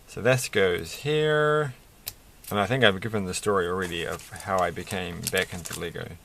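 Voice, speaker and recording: A middle-aged man talks in a low, croaky voice with a bright, forward edge. He rambles casually as he builds at a desk, pausing to hunt for words. Faint noise and small clicks sit behind him. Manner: rambling, halting, searching for words. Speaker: Lego build video creator. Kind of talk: casual hobby vlog, unscripted commentary while building. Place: indoors at a desk.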